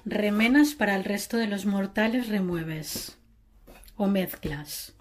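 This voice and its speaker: A woman's voice: mid-range voice, slow pace, slight background noise. A woman speaking Spanish, making playful, teasing remarks to the cook from off camera in a home kitchen. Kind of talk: joking banter.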